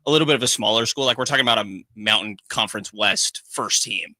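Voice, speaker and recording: Man, radio co-host, analytical, measured, very bright tone, dry studio sound. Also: on the low side, dry recording. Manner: measured, analytical, uses fillers like "like". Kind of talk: sports talk radio discussion.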